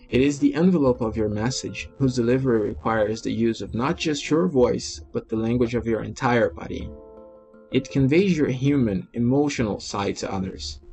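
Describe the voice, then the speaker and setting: Male host, Brazilian Portuguese accent, native Portuguese speaker, even article reading, faint music bed. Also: medium pitch. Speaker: language learning video host.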